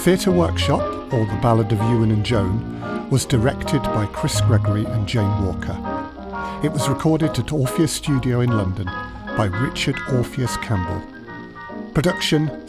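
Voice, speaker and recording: A man reading scripted closing credits as an announcer. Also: medium pitch. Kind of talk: scripted narration. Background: prominent music.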